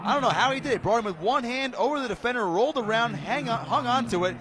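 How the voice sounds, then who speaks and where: An American man doing live radio play-by-play of a football game in excitable, clipped running descriptions. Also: very high voice, fast talker, crowd noise. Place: press box high above a stadium. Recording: loud stadium noise, outdoor broadcast.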